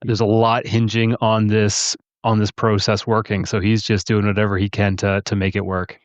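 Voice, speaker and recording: A man's voice: on the low side, little pitch movement, normal speed, no echo. A man on a podcast panel, discussing technical matters casually over slightly dull, lo-fi audio.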